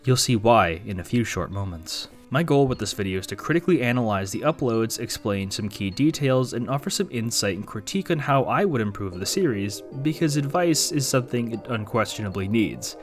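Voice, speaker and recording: A man's voice: medium pitch, animated, a little fast, few pauses. A young man narrating a scripted commentary video with wry, sarcastic asides, over faint background music. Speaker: YouTube commentator.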